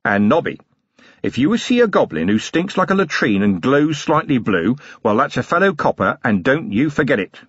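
A man voicing a gruff middle-aged police commander who grumbles dryly. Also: mid-range voice, sing-song, moderate pace, no room sound, muffled recording. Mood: wry. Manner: dry, grumbling and wry.

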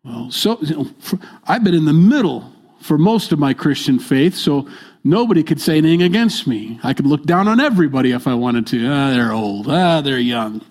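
An older man preaching a midweek sermon in a church, stressing his points by repeating them and moving between loud and soft delivery. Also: fairly high pitch, big pitch swings, a little fast, husky. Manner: emphatic, repeats key phrases, swings between loud and soft. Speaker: pastor.

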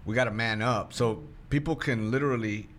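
A man co-hosting a casual faith-based interview. He talks in a lively, preaching way and laughs easily. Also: medium pitch, a little fast. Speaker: co-host.

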